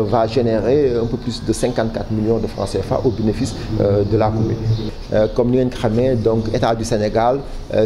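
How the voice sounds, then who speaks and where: A man with a Senegalese accent giving formal interview answers as a project official, halting often and repeating words. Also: mid-range voice. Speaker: official spokesman for a public waste management project. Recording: clearly noisy background ambience.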